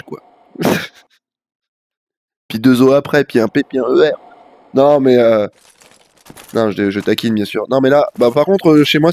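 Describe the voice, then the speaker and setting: A man's voice: medium pitch, darkish tone, dry recording. A young man with a head cold chatting casually and rambling in slang while livestreaming a video game. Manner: rambling, slangy, frequent fillers. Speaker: live-streaming gamer.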